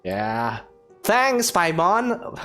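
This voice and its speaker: A woman playing a dry, wry young traveler in a video game, deadpan with sardonic asides. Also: fairly low pitch, extremely slow, faint music behind, heavily acted. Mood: casual, dry.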